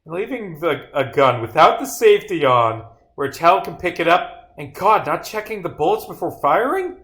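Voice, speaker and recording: A man's voice: fairly high pitch, sing-song, moderate pace, fairly bright tone, pitched above the performer's own voice. A blunt police sergeant from a detective game, acted by a man. The raised, emphatic voice is full of exasperated indignation. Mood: indignant.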